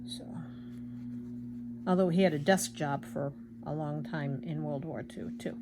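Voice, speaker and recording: An older woman chatting casually and rambling as she embroiders at home, self-deprecating, with a loud projecting voice. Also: deep voice, measured pace, noisy background. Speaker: craft vlogger. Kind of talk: casual chat while embroidering.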